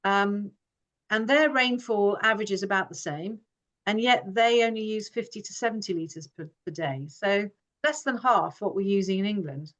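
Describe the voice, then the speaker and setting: A woman's voice: medium pitch, normal speed, fairly bright tone. A woman who grew up in Hong Kong, giving an earnest forum talk with frequent fillers and restarts. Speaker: forum speaker. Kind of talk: informal presentation.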